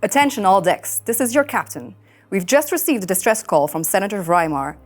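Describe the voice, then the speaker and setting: A woman playing a brusque, commanding female starship captain in a scripted animated sci-fi read, authoritative and terse. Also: medium pitch, fairly quick, natural voice. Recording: music under the voice.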